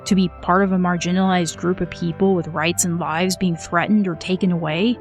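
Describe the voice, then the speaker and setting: A woman narrating a scripted video essay in an earnest, measured way. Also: on the low side, fairly even intonation, faint music behind.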